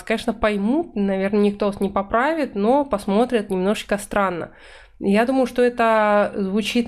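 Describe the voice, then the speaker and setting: A woman in her thirties teaching English vocabulary in an online lesson, explaining in Russian and reading English phrases slowly and clearly. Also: mid-range voice, a little mellow. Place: indoor room, webcam in corner of slides.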